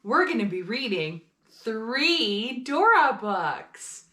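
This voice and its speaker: Woman, children's story host, higher voice, lively pitch movement, encouraging read-aloud delivery. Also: slow pace. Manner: addresses child listeners directly with simple questions and encouragement.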